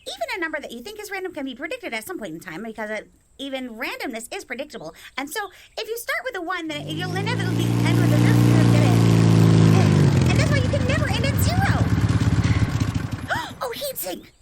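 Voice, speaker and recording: An actress playing a flighty, rambling woman who jumps from one excited thought to the next. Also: high-pitched, brisk, heavily acted. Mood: giddy. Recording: loud background noise.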